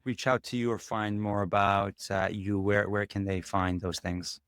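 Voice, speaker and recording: A man's voice: on the low side, little pitch movement, moderate pace, a bit bright, clear, resonant tone, no room sound, clean studio sound. A man hosting an interview podcast, musing thoughtfully, often with hesitant restarts. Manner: thoughtful, hesitant with repeated starts. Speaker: podcast host. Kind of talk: conversational interview.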